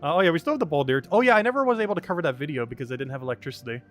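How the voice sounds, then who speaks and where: Young guy w/ a new jersey accent talking super fast over his game stream, laughs a lot, bit of music low behind him. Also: expressive, fairly bright tone. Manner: fast, rambling, chuckles often. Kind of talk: casual gameplay commentary.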